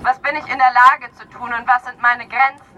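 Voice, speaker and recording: A middle-aged woman speaking earnestly and deliberately as an activist, delivering a prepared speech at an outdoor rally. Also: fairly high pitch, flat delivery, highs cut off. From Cologne area, Germany. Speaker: climate activist giving a speech. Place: outdoors in an urban area. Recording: clear outdoor street and traffic noise.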